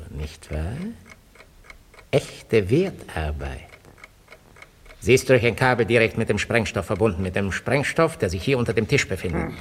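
An actor playing a mockingly courteous, sadistic gangster boss in a 1950s German radio thriller parody. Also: male voice, fairly low pitch, full character voice. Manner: silky mock politeness, drawn-out menace. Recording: reverberant old radio studio, faint hiss.

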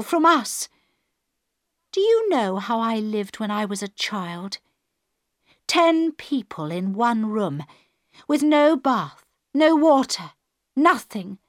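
A woman voicing an angry twenty-year-old female hijacker in a read-aloud story, her voice rising high and shrill. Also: a bit bright, no echo, studio quality.

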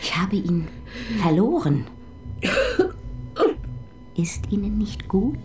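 An actress in a radio crime play voicing a lonely, jilted nurse who poisons her rivals, speaking in soft, drawn-out, menacing whispers. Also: medium pitch, animated, a little hiss or hum. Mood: bitter. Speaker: murderer. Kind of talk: radio crime drama acting. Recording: radio play with background music.

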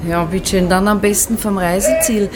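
An actress playing a fussy, unhurried telegraph clerk in a German radio drama, polite and officious. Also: female voice, medium pitch, fairly even intonation, background noise, lightly acted.

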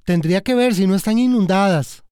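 A man's voice: sits high, fairly quick, mellow, slight background noise, natural voice. An actor playing an earnest local man eager to help, in a radio drama. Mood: concerned, eager. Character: eager, helpful local man volunteering as guide.

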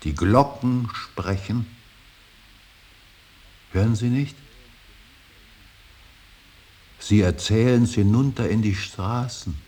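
An actor playing an older, grieving father in a radio drama, brooding and solemn, with halting, weighty declamation that often sinks into breathy whispers. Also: lowish voice, warm tone. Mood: fervent, exalted. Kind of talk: German radio drama acting, solemn monologue. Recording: hissy, faintly noisy radio recording.

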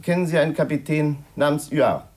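An actor in a 1950s German radio play as a curt, insistent police commissioner, probing and official, with a husky voice. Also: male voice, fairly high pitch, brisk, darkish tone, close to an everyday voice. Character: insistent, businesslike male police commissioner questioning a suspect.

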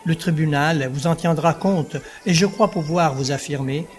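An actor playing a courteous, indulgent provincial court president in a French audio drama, measured and formal. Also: male voice, fairly high pitch, soft background music, lightly acted. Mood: reassuring, judicious.

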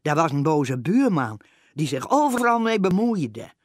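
Radio comedy: nurse-narrator, chatty and expressive, close dry sound. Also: female voice, deep voice, measured pace, no room sound, exaggerated voice. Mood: fond storytelling. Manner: chatty, storytelling to listeners. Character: rest-home nurse cheerfully telling her own story. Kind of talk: scripted first-person narration in radio comedy.

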